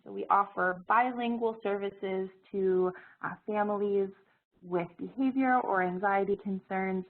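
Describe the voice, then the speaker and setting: A woman presenting an information session while she has a cold, her voice scratchy, pausing often with fillers. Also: mid-range voice. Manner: hesitant, many fillers and restarts. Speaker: presenter, program director. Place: Halifax, Canada. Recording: phone-like narrow audio.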